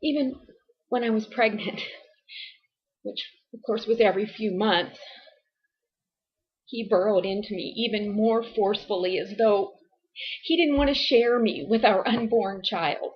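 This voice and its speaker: Slow, halting, distraught wife played by a woman. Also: mid-range voice, highs cut off, natural voice. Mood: wounded, bitter. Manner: halting, deliberate, emotionally strained. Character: distraught abused wife and grieving mother confessing to a detective. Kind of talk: dramatic confession monologue.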